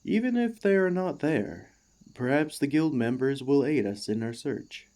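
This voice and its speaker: A man playing an earnest, devoted warforged ex-gladiator in an ASMR roleplay, speaking to the listener with formal, courteous, gently hesitant phrasing. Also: mid-range voice, animated, measured pace, natural voice. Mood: warm, tentative.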